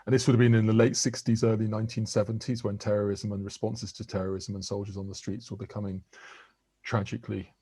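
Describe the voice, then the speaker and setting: A man in his sixties who grew up in England, an interviewed professor giving articulate, measured answers with occasional restarts. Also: on the low side, level tone, mellow, breathy edge, no echo.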